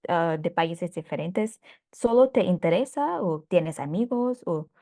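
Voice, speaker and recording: Woman host, lower voice, dry close sound, video call, attentive, many short murmurs. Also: lively intonation, measured pace, warm tone, no echo. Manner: attentive listener, frequent backchannel murmurs. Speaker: host, language learner. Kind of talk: casual conversation.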